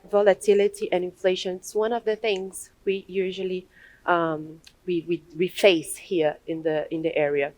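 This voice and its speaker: A woman's voice: medium pitch, no echo. Brazilian-accented woman giving a conference talk. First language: Portuguese. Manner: hesitant, many restarts and fillers. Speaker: conference speaker. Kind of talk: conference presentation. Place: large conference hall, at a podium.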